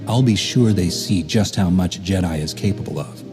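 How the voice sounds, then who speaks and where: A resolute warrior hero played by a man for a video game. His low voice is earnest and measured, with music clearly behind. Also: natural voice.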